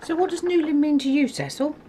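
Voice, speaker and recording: A woman's voice: high-pitched, fast talker, dark tone, slight background noise, close to an everyday voice. An actress playing a gracious, spirited woman campaigner with a refined upper-class English accent in a radio play. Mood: bright, friendly. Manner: polite, refined, encouraging.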